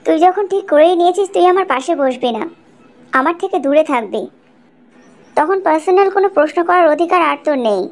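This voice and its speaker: A woman plays a young female student in a cartoon. She is defiant and proud. Her voice sits high and moves freely in pitch. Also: animated, quick pace. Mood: curt. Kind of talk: cartoon dialogue.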